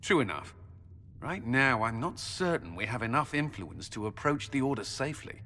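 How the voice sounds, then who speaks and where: A man's voice: medium pitch, lively intonation, moderate pace, sharp tone, big-room sound, slight background noise. A man playing an earnest, dutiful military commander in a fantasy video game, speaking formally. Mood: earnest, firm.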